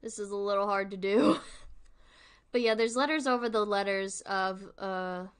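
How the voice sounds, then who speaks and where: A woman's voice: mid-range voice, moderate pace, smooth. A woman hosting a livestream with casual, informal commentary, rambling and thinking aloud as she goes. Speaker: livestream host.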